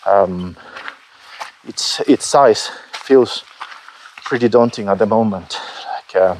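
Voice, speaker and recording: Slow, hesitant man musing aloud. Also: lowish voice, darkish tone, slight background noise. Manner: hesitant, halting, many fillers and restarts; candid and self-critical, with occasional swearing. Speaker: solo musician vlogging his album process. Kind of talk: unscripted reflective vlog.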